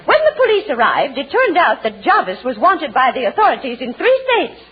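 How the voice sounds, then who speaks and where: A woman's voice: high-pitched, huge pitch swings, a little fast. An actress playing a genteel, haughty society lady in a 1940s radio comedy, prim and formal. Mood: superior. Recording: muffled 1940s radio, faint hiss and audience.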